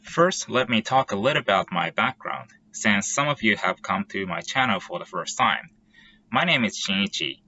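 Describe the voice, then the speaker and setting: Bright-toned man. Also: lowish voice, animated, dull audio, slight background noise. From Japan. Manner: careful, measured and methodical, lays out points in order. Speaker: vlogger. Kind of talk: scripted explainer monologue.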